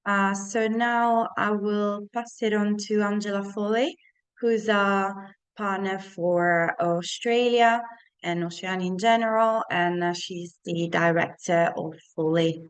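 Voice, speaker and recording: A woman's voice: mid-range voice, steady tone, takes it slowly, clean tone. A woman moderating a webinar, politely thanking speakers and introducing the next one. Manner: polite, brief handovers.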